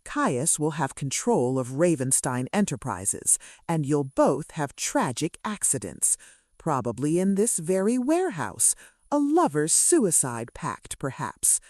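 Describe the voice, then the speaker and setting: A woman's voice: low-pitched, sing-song, measured pace, darkish tone, dry recording, studio quality, exaggerated voice. A woman voicing a clinical, sardonic male kidnapper who speaks with casual menace in a romance audiobook. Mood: callous, sardonic. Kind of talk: romance audiobook character dialogue.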